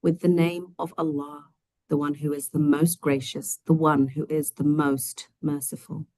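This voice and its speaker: A woman with a deep, low voice hosts an online study session from home over a video call, gentle and chatty with plenty of fillers. Her voice is a little croaky, and the sound is dry and close. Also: flat delivery, a little slow, no room sound. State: slightly croaky voice. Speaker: host.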